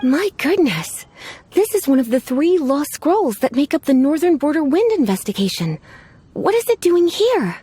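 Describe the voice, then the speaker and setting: A woman's voice: high-pitched, big pitch swings, normal speed, dark tone, dry recording. A woman playing a coy, languid adult female librarian in an anime game, teasing and sweetly menacing as she drawls. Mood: impressed.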